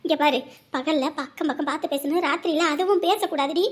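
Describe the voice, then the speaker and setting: A woman doing a low, gentle family elder. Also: fast talker, lightly acted. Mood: chiding. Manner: low, gentle, coaxing with proverbs.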